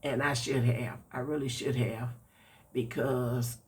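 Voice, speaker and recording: Slow, congested older man. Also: medium pitch, level tone, darkish tone. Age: 60s. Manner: chatty, folksy, addresses viewers directly. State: congested, raspy. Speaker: vlogger reviewing a product. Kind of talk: casual chatty review.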